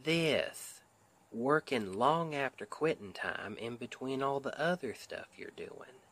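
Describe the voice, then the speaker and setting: A male actor plays a sweet Southern US husband in an intimate ASMR roleplay. He speaks very slowly at a middling pitch, with a folksy drawl. He is tender, protective and a little stubborn in his soothing care. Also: expressive, fairly bright tone, heavily acted. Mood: concerned, gentle.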